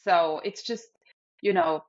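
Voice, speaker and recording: A woman's voice: fairly low pitch, takes it slowly, muffled recording. A woman who grew up in Germany, answering as an interview guest in a friendly way, with some hesitant fillers. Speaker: guest, feng shui practitioner.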